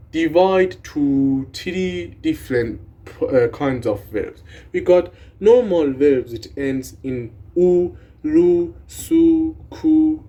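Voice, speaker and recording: A man's voice: on the high side, warm tone, breathy edge. A young man whose first language is Persian, casually explaining language grammar in a rambling, swearing, self-deprecating way. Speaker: language video creator.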